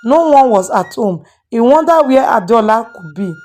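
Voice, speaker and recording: A woman's voice: on the high side, lively intonation, normal speed, a little mellow, faint music behind. A woman storyteller hosting and dramatically narrating a moral tale. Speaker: storyteller and channel host.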